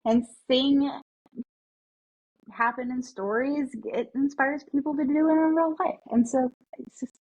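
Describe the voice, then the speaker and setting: A woman's voice: high-pitched, steady tone, measured pace, pauses often, darkish tone, dull audio. A woman guest on a casual interview, an enthusiastic fan who sometimes drops to a whisper. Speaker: podcast guest, mother.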